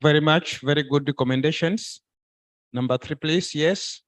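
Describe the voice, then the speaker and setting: A man moderating a conference session, briskly thanking speakers and calling the next ones in turn. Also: on the high side. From Tanzania.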